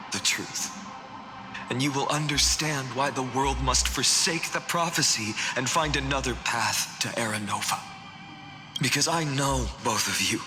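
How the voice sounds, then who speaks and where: An actor playing a grave, weary swordsman burdened by fate, speaking in measured, dramatic video game dialogue that sometimes drops toward a whisper. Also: mid-range voice, reverberant, big-room sound, lightly acted. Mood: grave, earnest.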